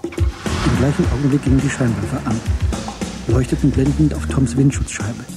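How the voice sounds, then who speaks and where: A man's voice: mid-range voice, fairly even intonation, normal speed, close to an everyday voice. A man reading scripted literary narration as the narrator of a German radio drama. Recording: music behind the voice.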